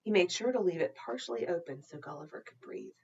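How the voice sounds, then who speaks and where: A woman narrating a children's novel aloud in an expressive, deliberate storytelling manner. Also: lowish voice, muffled recording, slight background noise. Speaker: storytime reader, teacher.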